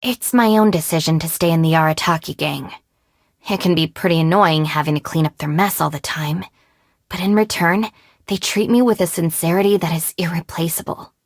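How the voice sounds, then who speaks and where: A woman's voice: on the low side, moderate pace, vocal fry, no echo. A young woman voicing a capable, level-headed gang deputy in a video game, speaking in a composed, dry, matter-of-fact way. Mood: dry, resolute. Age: young adult. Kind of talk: video game character voice lines.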